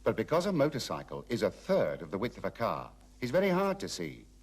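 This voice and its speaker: A British man presents road safety advice in a fairly low, husky voice. He addresses the listener directly and emphatically, his pitch swinging very widely. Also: huge pitch swings, fairly quick, distant mic.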